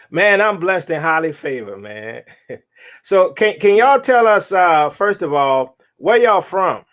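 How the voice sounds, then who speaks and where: Laid-back male host, slightly high voice. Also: takes it slowly. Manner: relaxed, laughing, casual. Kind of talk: interview.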